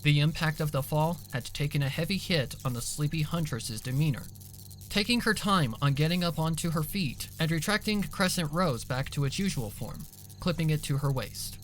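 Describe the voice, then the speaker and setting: Higher-pitched man narrating a story. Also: animated, moderate pace, fairly bright tone, faint music behind. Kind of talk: dramatic scripted story narration.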